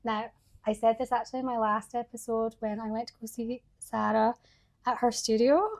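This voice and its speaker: A woman's voice: a little high, steady tone, moderate pace, darkish tone. A woman hosting a podcast, chatting enthusiastically and laughing often with her guest. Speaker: podcast host. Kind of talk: warm podcast interview.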